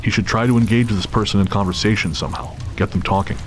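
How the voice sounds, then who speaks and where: A man's voice: fairly low pitch, steady tone, fast talker, a little hiss or hum, close to an everyday voice. A man reading as the grave storyteller of a suspense audio drama, in scripted dramatic narration. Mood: grave, suspenseful.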